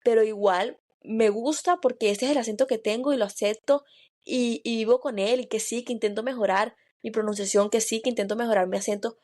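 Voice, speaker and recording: A woman's voice: fairly high pitch, fairly even intonation, a little fast, a little mellow. A woman with a Spanish-speaking foreign accent co-hosting a podcast. She talks in a heartfelt, personal way and often repeats small words as she goes. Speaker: podcast co-host. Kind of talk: reflective podcast chat.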